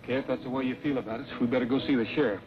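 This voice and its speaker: Man playing a steady cowboy hero, vintage sound. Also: medium pitch, very fast, lightly acted. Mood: stern. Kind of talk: old-time western film acting. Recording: dull vintage soundtrack with music behind.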